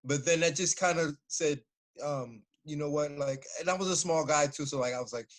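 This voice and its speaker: A man in his forties, a former fighter, telling stories in a relaxed, casual interview and laughing often. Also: a little high, moderate pace. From United States.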